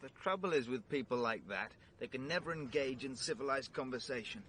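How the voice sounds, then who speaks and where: An actor playing a gallant, pompous young upper-class English gentleman in a vintage radio drama. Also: mid-range voice, expressive, a little fast, slight background noise, close to an everyday voice. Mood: smitten. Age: young adult. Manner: pompous, hoity-toity.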